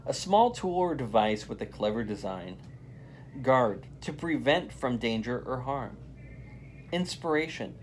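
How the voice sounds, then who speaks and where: Animated children's storyteller with varied pitch. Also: measured pace, a little hiss or hum. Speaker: volunteer storyteller. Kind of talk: animated children's read-aloud.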